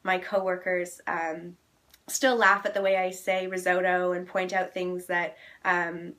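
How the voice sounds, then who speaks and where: A woman's voice: fairly low pitch, flat delivery, a little slow, fairly bright tone. A young woman from Canada with a Canadian English accent, chatting casually to camera in a friendly way with frequent ums. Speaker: vlogger.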